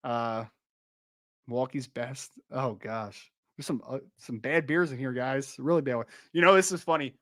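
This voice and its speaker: A man's voice: mid-range voice, moderate pace, fairly bright tone, no room sound, clean studio sound. A young man hosting a podcast in casual chat, rambling and laughing easily, with a slightly worn voice. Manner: chatty, rambling, laughs easily, many restarts and "like". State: losing his voice a little. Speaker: podcast host. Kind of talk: casual live-stream podcast chat with chat readers.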